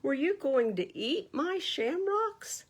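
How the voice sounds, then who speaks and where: Woman acting out an earnest, animated storybook rabbit. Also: sits high, takes it slowly, pitched above the performer's own voice, full character voice. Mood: worried. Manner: expressive, exclaiming, plaintive. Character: earnest, anxious rabbit, easily dismayed. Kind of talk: children's picture-book read-aloud.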